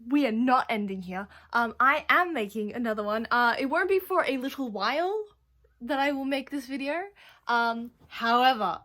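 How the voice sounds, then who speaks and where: A young woman reviewing anime from her bedroom, rambling and laughing often, her voice hoarse after losing it. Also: fairly high pitch, moderate pace, bright, forceful tone. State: hoarse, has lost her voice. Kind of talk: casual video review. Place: bedroom at home.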